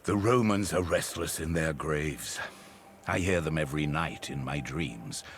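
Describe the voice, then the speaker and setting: An actor playing a grave, wise old mystic in a video game, with a gravelly, measured, ominous delivery. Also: male voice, rumbling low, a little hiss or hum. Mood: solemn, foreboding. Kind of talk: solemn video game storytelling.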